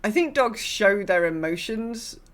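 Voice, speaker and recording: A woman in her mid-forties co-hosting a podcast, chatting casually in a rambling, self-deprecating and expressive way. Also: mid-range voice, a little slow. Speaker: podcast co-host. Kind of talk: casual chat.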